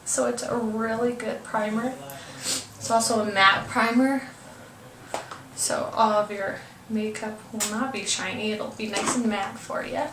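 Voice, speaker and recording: A woman's voice: on the high side, steady tone, unhurried. Stuffed-up young woman, roomy home video. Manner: chatty, hesitant with many ums, apologetic. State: stuffed up, congested. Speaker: beauty vlogger. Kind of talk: casual makeup tutorial. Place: room at home. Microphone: camera mic from a distance. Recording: roomy, distant camera audio with faint hiss.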